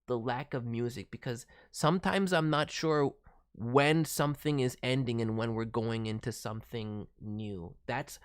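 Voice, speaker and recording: A man's voice: mid-range voice, unhurried, clear, resonant tone. A man giving casual, thoughtful critique as a voice director, hedging as he talks. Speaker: voice director reviewing demo reels.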